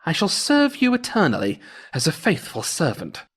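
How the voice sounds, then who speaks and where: A man's voice: a little high, wildly swooping pitch, normal speed, a bit bright, breathy edge, pitched above the performer's own voice, heavily acted. A man voicing an old devil who serves obediently, speaking in a formal, servile manner in a folktale. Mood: obliging. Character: old male devil, obedient servant to the soldier.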